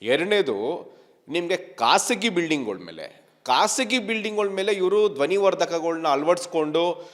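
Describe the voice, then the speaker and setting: A man's voice: a little high, sing-song, normal speed, fairly bright tone, in a large hall. A man giving an emphatic statement to a news reporter as an activist leader, repeating phrases for stress. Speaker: activist leader being interviewed.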